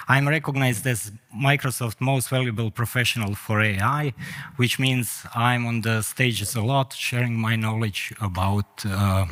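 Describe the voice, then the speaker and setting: Middle-aged croatian guy giving a tech talk on stage, lots of uh's, average pitch. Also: fairly bright tone. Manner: hesitant, frequent "uh" fillers, non-native phrasing. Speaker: conference speaker. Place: conference hall.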